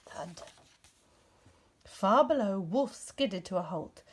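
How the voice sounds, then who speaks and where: Animated woman reading a children's story. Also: mid-range voice, slow, breathy edge. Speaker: storybook reader. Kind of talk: expressive children's story narration.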